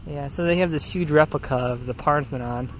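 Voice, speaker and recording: A man's voice: fairly high pitch, brisk, lo-fi sound, a little hiss or hum. Joking man co-hosting outdoors. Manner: joking, laughs often. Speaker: podcast co-host. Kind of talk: casual chat. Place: outdoors in a yard on a swing.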